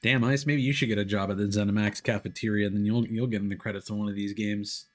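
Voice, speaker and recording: A man's voice: fairly low pitch, fairly even intonation, quick pace. A man doing casual live stream commentary on a video game, chatting to viewers in a wry, sarcastic way.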